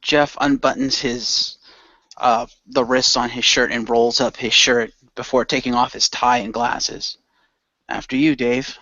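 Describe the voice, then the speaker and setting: A man's voice: medium pitch, little pitch movement, measured pace, bright, forceful tone, no echo. A man reading scripted story narration for an amateur radio play. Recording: dry, slightly muffled home recording.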